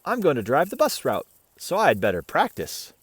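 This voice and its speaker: A man's voice: on the high side, wildly swooping pitch, moderate pace, slightly rough, no echo, full character voice. A man voicing an eager, helpful male squirrel in a children's storybook, polite and enthusiastic.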